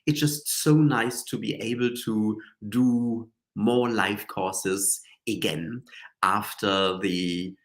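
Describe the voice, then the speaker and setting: A man's voice: lowish voice, fairly even intonation, slow pace. A man with a cold and a slightly nasal voice, hosting a live stream in a chatty, rambling way with many fillers and restarts. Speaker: Reiki teacher and show host. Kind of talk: casual live-stream talk.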